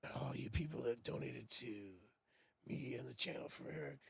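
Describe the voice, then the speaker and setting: Raspy, gravelly man on a live stream. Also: mid-range voice, moderate pace, dry recording. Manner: playful and joking, chatty. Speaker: tarot reader hosting a live stream. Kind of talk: casual live-stream chat. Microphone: new studio microphone.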